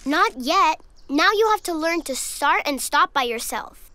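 A woman voicing Ryan, a bossy little boy dinosaur friend in a preschool cartoon, with a high childlike voice. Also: lively intonation, unhurried, sharp tone, dry recording, exaggerated voice.

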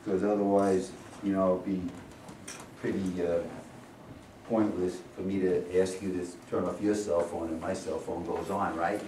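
A man's voice: sits low, flat delivery, unhurried, mellow. An older man giving an informal welcome speech to an audience as playwright and director, with an emphatic, rhetorical manner that keeps checking with listeners. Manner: emphatic, rhetorical, often checks with "right?" and "okay?". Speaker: playwright and director introducing a staged reading. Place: medium-sized indoor rehearsal room with audience. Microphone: camera several metres away. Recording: roomy, distant camera mic with faint noise.

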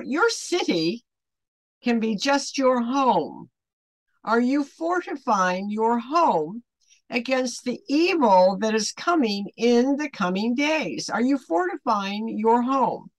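An older woman in her seventies leads an online Bible study in an earnest, questioning way. She speaks slowly, with lively swings in pitch that stress her points. The sound is dry and close, as in a small room. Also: no room sound. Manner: earnest, deliberate, emphatic questioning. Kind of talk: devotional teaching to camera, with pointed questions. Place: small home room, indoors.

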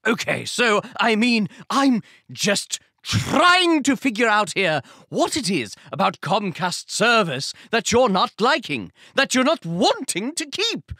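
A man playing a pushy, pleading call-centre agent as a mock-Shakespearean dramatic reading, theatrical and drawn-out. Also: high-pitched, sing-song, bright, forceful tone, no echo, clean studio sound, exaggerated voice. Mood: probing, incredulous. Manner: melodramatic, halting, drawn-out delivery.

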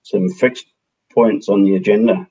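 A man in his thirties hosting a meeting over a headset on a video call, chatting in a relaxed way. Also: lowish voice, unhurried, slightly rough. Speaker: meeting host. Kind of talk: casual video call discussion. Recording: dull lo-fi call audio.

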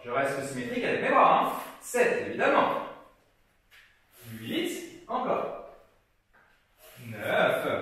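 Young male fitness coach, high animated voice, counts reps, very roomy distant sound. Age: young adult. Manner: counts reps aloud, lively encouraging coaching. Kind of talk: guided workout instruction. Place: gym studio, indoors. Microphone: far camera. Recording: very echoey room, voice from far off.

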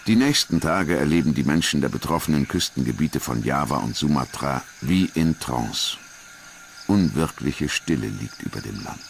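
A man reading scripted documentary narration in a measured, grave manner. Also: very deep voice, expressive, vocal fry, a little hiss or hum.

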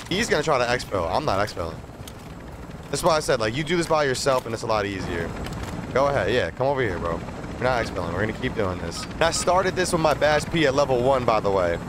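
A young man streaming from his desk, close on the mic, with a middling pitch. He explains the game in casual slang and repeats his points, while clear game noise and gunfire run behind him. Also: expressive, fast. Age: young adult. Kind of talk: casual gameplay commentary and tutorial. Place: small streaming room at a desk. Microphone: close desk microphone. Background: video game gunfire and ambience.

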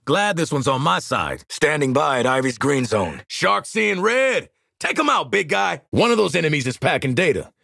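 A man's voice: on the high side, big pitch swings, moderate pace, creaky, hardly any pauses, sharp tone, no echo, studio quality, full character voice. A man playing a cocky, wry mercenary marksman in a video game, streetwise and sardonic, barking commands to his squad and swearing freely. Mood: urgent, commanding.

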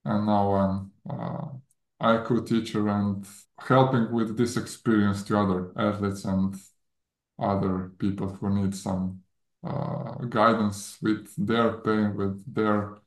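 Croatian man, 30s, unhurried and halting, roomy video-call sound. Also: fairly low pitch, flat delivery. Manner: hesitant, many fillers, non-native grammar. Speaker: guest. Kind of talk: casual interview. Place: home room with plants.

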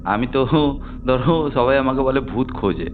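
A man's voice: medium pitch, normal speed, music in the background. A man with a West Bengali accent, questioning a caller as host in a probing, matter-of-fact way. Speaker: podcast host investigating ghost claims. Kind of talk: conversational questioning on a call. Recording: narrow phone-like sound, clear background noise. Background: background noise.

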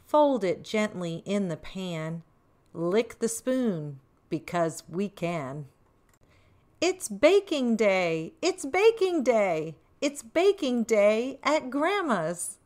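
A middle-aged woman hosting a children's read-aloud, expressive, reading picture-book lines and chatting to young viewers with questions. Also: mid-range voice, slow pace. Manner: expressive, addressing small children with questions and exclamations. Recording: roomy echo, distant microphone.

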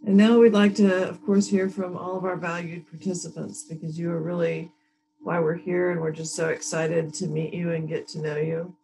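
A woman in her thirties hosting a training workshop over a video call, warmly introducing each participant in turn. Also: on the low side, little pitch movement, normal speed, gravelly, noisy background. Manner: friendly, thanks each speaker. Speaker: workshop host.

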